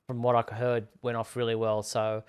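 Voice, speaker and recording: A man's voice: mid-range voice, monotone, moderate pace, darkish tone, clean tone. A man hosting a live online fan talk show, addressing viewers in a courteous, appreciative way.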